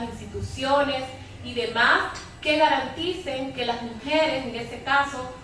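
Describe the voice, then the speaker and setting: A woman explains her views as a panelist in a fairly high voice, often adding tag questions. The sound is very reverberant and away from the mic, with faint noise. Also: fairly bright tone. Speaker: panelist from a women's rights organization. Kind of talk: spontaneous panel commentary. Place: echoey room at a public debate. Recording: very echoey room, distant mic, faint noise.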